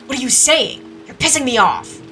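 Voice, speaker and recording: Woman as frightened male writer, angry, defensive, tense bright tone, dramatic read-aloud. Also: on the high side, lively intonation, brisk, distant mic, soft background music, voice pushed up. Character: panicked, angry freelance writer man, near tears.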